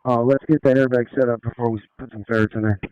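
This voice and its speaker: A man's voice: medium pitch, steady tone, fast, darkish tone, smooth, no room sound. A man who is a police officer giving matter-of-fact procedural updates over lo-fi scanner radio.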